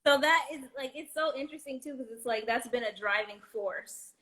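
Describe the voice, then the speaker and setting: A woman in her late twenties with a fairly high voice, talking openly and warmly about her work as an interview guest. She rambles loosely, full of likes and restarts. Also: normal speed. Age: 20s. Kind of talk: casual chat.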